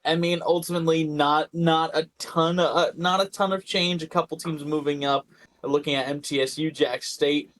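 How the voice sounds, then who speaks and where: A man's voice: a little high, fairly even intonation, moderate pace, a bit bright. A man co-hosting a sports podcast, giving casual analysis and often repeating words as he thinks. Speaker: podcast co-host.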